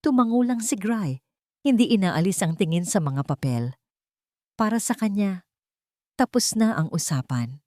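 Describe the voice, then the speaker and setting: A woman narrating a scripted romantic drama story in a measured, deliberate way with dramatic pauses. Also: mid-range voice, lively intonation, darkish tone, no room sound, studio quality. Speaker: narrator of romance audio stories.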